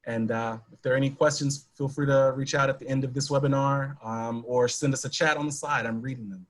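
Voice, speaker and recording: Male presenter, husky, friendly, reassuring, conversational. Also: mid-range voice, fairly even intonation, fairly quick. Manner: friendly and reassuring, some fillers. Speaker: staff presenter. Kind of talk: casual webinar talk.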